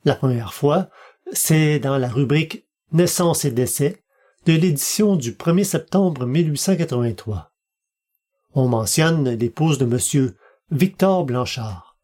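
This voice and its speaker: A man hosts a podcast, reading scripted narration in a measured, formal way. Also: fairly high pitch, animated, a little mellow. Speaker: podcast host.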